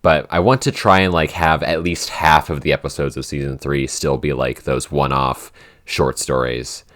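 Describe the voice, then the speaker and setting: A man's voice: rumbling low, fairly even intonation, moderate pace, a bit bright. A man, one of a podcast's creators, chatting informally and thinking aloud in loosely phrased, unscripted answers. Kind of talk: casual unscripted behind-the-scenes chat.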